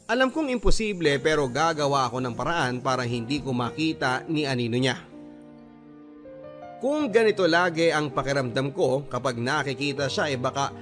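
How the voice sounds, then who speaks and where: A man with a Filipino accent narrating a first-person love-story letter in a dramatic radio storytelling style. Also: mid-range voice.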